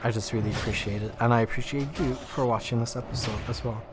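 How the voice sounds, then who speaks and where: A man's voice: mid-range voice, fairly even intonation, quick pace, background noise. A man with a nasal voice from allergies, casually rambling as he comments on a video game he is playing. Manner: rambling, lots of "like" fillers. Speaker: gaming YouTuber. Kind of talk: casual let's play commentary.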